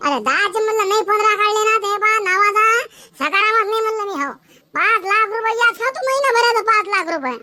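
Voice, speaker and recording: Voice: very high voice, sharp tone, full character voice. A performer voicing a blustering, swindled cartoon village man, indignant and emphatic, with a rural Varhadi Marathi accent. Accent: rural Maharashtra, Varhadi Marathi. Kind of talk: comic cartoon dialogue.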